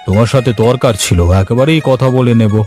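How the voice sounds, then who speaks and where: A man voicing a kindly, authoritative older village headman in a Bengali cartoon story, with a measured, paternal manner. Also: mid-range voice, darkish tone, soft background music, pitched below the performer's own voice, close to an everyday voice. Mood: welcoming.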